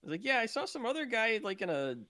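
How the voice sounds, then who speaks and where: A man's voice: sits high, sing-song, quick pace, sharp tone. A man chatting casually as a guest on a podcast. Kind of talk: casual chat.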